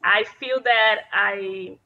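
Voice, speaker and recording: A woman's voice: mid-range voice, slow pace, dull audio. A woman in her thirties from Brazil with a Brazilian Portuguese accent, a Portuguese native speaker, earnestly answering interview questions as a dental consultant. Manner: earnest, slightly non-native phrasing, laughs easily. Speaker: guest, dental hygienist and consultant. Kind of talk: interview conversation.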